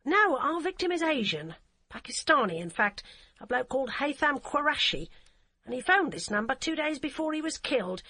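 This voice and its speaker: A woman's voice: high voice, sing-song, moderate pace, sharp tone, pitched above the performer's own voice, full character voice. A woman voicing a blunt, flustered female detective sergeant. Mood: earnest.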